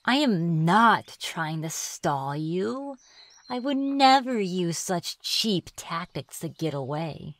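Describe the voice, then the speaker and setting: A woman's voice: on the low side, very animated, slow pace. A woman playing a playful, sly reclusive witch in an ASMR fantasy roleplay, musing and teasing in a coy manner. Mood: playful, pestering. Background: birdsong and forest ambience.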